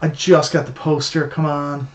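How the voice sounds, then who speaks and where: A man from Newfoundland streaming a retro game, chatting casually and getting frustrated and shouting at it. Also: a little high, little pitch movement, moderate pace, a little hiss or hum. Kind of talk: casual live-stream chat.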